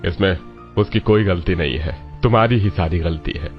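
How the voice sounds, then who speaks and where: A man's voice: deep voice, normal speed, lightly acted. A man playing a blunt, matter-of-fact middle-aged man in a cartoon story, in a dull recording with music behind. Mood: reproving. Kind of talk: Hindi cartoon story dialogue.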